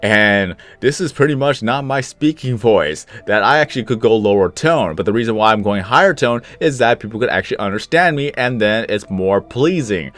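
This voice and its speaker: A young man with a deep, low-toned voice, slightly sick with some phlegm, giving rambling, easygoing commentary while he plays a game. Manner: rambling, easygoing, chuckles at himself. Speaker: gaming channel host. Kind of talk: casual gameplay commentary.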